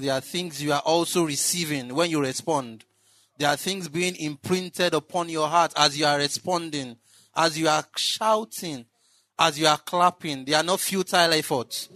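Preacher with a raised voice. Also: male voice, on the high side, no echo. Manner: preaching that swells into shouted exclamations. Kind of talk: sermon. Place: church.

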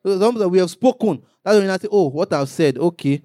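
A man's voice: sits high, animated, a little fast, warm tone, dry recording, clean studio sound. A man, a pastor preaching a sermon, rising into emphatic bursts and acting out little quotes.

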